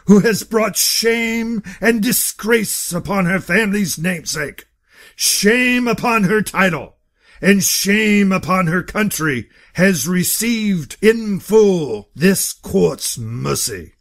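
A man's voice: high voice, lively intonation, slow, fairly bright tone, exaggerated voice. A man playing a stern, pompous court judge, formally pronouncing in an audio drama. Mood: solemn, stern.

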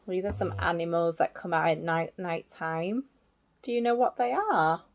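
A woman in her thirties reading a picture book to small children in simple, expressive phrases, adding little explanations as a teacher would. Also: fairly low pitch, moderate pace, highs cut off. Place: small indoor room at home.

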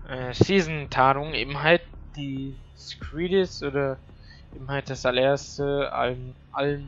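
A man with a bright, forceful voice chats casually as he hosts a gameplay video. His talk is slangy and crude, full of trash talk, and music plays behind him. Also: medium pitch, lo-fi sound. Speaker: gamer hosting a gameplay video. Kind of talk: casual gaming commentary. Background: game audio and music.

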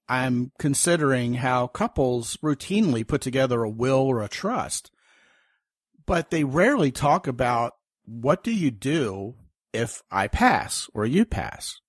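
A man's voice: mid-range voice, slightly rough, no echo, clean studio sound. Chatty storytelling male podcast host. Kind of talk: casual interview chat.

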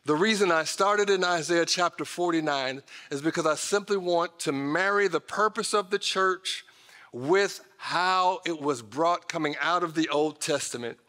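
Bright-voiced American preacher man in a hall. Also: on the high side, measured pace. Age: middle-aged. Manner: emphatic, conversational, addresses listeners directly with folksy asides. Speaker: pastor. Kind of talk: sermon to a congregation. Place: large church auditorium stage, indoors. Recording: reverberant hall sound from stage.

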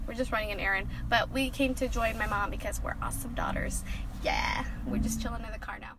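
Young female vlogger, playful and chatty, high bright voice, filmed on a phone over loud car noise. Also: fairly quick. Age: young adult. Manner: bubbly, giggly, playful. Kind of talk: casual vlog chat. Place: inside a car. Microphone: phone held at arm's length.

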